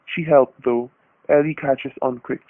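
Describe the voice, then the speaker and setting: A tinny old radio recording carries a man acting a shrewd off-duty detective. He is steady and wry, with a folksy hard-boiled delivery suited to a noir crime play. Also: mid-range voice, a little slow, a little hiss or hum, lightly acted. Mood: satisfied, wry. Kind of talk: vintage radio drama acting and noir narration.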